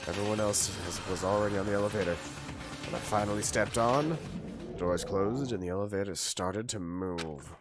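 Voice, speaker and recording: Gravelly sick man reading a game. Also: on the low side, moderate pace. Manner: dramatic narration with jokey asides. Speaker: streamer. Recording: loud game music behind.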